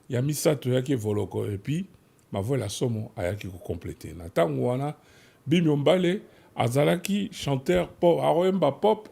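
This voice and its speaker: A man with a creaky, gravelly voice and a soft, mellow tone. He speaks with a Kinshasa Congolese accent at a middling pitch. His delivery is that of a host telling history in a conversational way, switching between languages as he goes. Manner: switches between French and Lingala. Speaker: host of a music history programme. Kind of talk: conversational presenting and storytelling.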